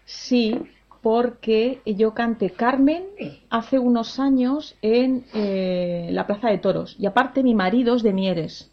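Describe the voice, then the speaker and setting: A woman's voice: fairly high pitch, takes it slowly. A woman in her forties, an opera singer, answering interview questions in a cheerful, chatty way and laughing often. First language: Spanish. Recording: dull lo-fi radio sound.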